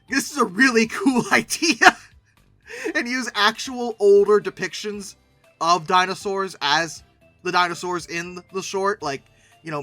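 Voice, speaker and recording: A man's voice: sits high, sharp tone. A man hosting casual, humorous commentary, speaking emphatically and repeating words for effect. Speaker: host of a paleoart commentary channel.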